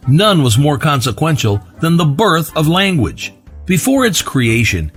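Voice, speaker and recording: Man narrator, higher voice, animated scripted read, soft music behind. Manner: even, measured explainer read.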